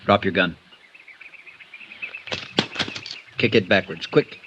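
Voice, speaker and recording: A man's voice: low voice, fairly quick, lightly acted. An actor in a 1950s radio drama playing a menacing gunman, giving curt, threatening orders. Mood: threatening, urgent. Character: menacing unseen gunman, terse, ordering a captive around. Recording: dull, noisy vintage radio recording.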